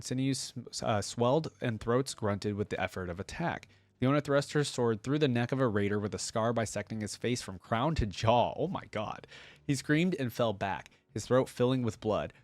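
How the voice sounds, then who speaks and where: A man's voice: medium pitch, no room sound. A man hosting a reaction video, reading a story aloud and commenting in a casual, slangy way.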